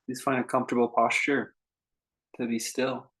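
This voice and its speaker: Man leading an unhurried guided meditation. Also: mid-range voice, little pitch movement. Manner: unhurried, gentle pauses between short phrases. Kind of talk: unscripted guided meditation talk.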